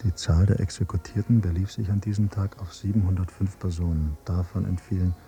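Sardonic, grim narrator for radio drama, low mellow register, clipped dry recital. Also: male voice, steady tone, a little fast. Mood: cold, official.

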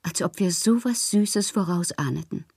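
An actress playing a passionate, capricious bohemian woman in a literary radio drama, theatrical and intimate, with a breathy voice. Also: on the low side, lively intonation, moderate pace, darkish tone, no echo, clean studio sound, full character voice. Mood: playful, confiding. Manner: theatrical, intimate, often dropping to breathy murmurs. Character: dreamy, passionate, capricious bohemian woman writing love-struck letters.

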